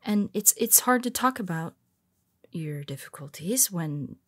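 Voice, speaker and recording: Low-voiced, conversational superhero woman, acted out. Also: lively intonation, a bit bright. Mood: hesitant, confiding. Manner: conversational, halting, hesitant asides. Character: powerful flying female superhero, blunt, flustered, secretly vulnerable. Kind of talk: intimate audio roleplay monologue.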